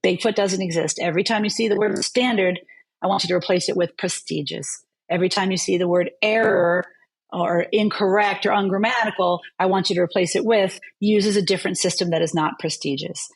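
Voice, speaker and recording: Husky-voiced woman professor telling stories. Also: mid-range voice, fairly even intonation, a little fast, rough recording. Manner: vivid, storytelling explanations. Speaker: university linguistics professor. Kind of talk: conversational interview.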